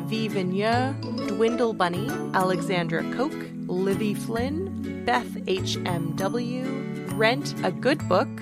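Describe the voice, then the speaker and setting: A woman podcast host reads out acknowledgements at an unhurried pace. Her voice sits at a medium pitch, and loud music plays along behind her. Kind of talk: reading out listener thanks on a podcast.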